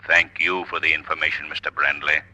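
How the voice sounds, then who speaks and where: An actor playing an invisible male avenger who speaks in a commanding, taunting, softly menacing way, in 1940s radio style. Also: very deep voice, flat delivery, fairly quick, heavily acted, low-quality recording.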